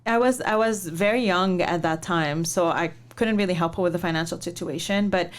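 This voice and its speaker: A woman from Lebanon, a founder and investor, telling her life story in an interview in a measured, reflective way with many pauses. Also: lowish voice. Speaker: guest, startup founder and investor.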